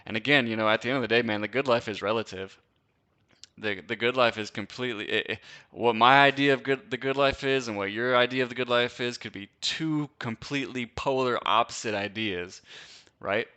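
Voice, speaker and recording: Bright, crisp-voiced laid-back guy. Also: mid-range voice, brisk, muffled recording. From Wisconsin, USA. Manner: laid-back slangy chat, lots of "dude" and "bro", frequent laughs. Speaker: host. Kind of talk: casual live-stream Q&A.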